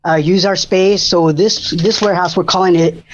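A man's voice: sits high, fairly even intonation, normal speed, slight background noise. A man who coordinates disaster preparedness for a food bank, talking enthusiastically as a radio guest, with many fillers and restarts. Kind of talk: casual radio interview. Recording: muffled lo-fi sound.

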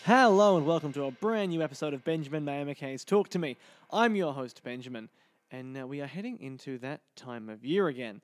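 A man's voice: on the high side, expressive, a little fast, soft background music. A man presenting and interviewing as a podcast host.

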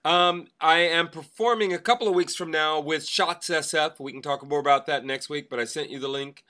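A man co-hosts a podcast with a bright, forward voice pitched a little high. He gives casual announcements in a chatty way. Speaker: podcast co-host. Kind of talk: casual show announcements and chat.

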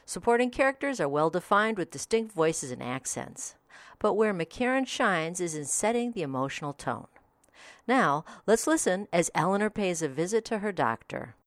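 A woman hosts a short review podcast from a script. Her voice sits low but moves with animated intonation. The sound is dry, with no room around it. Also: normal speed, no echo. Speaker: podcast host.

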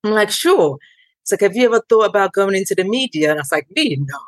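A woman in her thirties, originally from Ghana and not a native English speaker, a guest telling her story in a lively way with many ums. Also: medium pitch, fast talker, no room sound, poor audio. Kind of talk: conversational interview.

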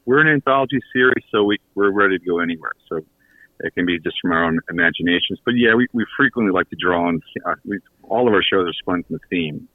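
A man chatting casually as a guest in a radio interview, halting as he searches for his words. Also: low voice, lively intonation. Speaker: guest, audio theater creator and writer. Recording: echoey, tinny phone-line connection.

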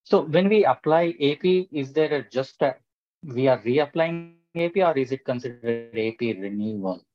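A man's voice: medium pitch, lively intonation, normal speed, a little mellow, dry recording, faint music behind. A man calling in to a conference line, casually asking practical questions. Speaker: caller. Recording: dull, dry conference phone line.

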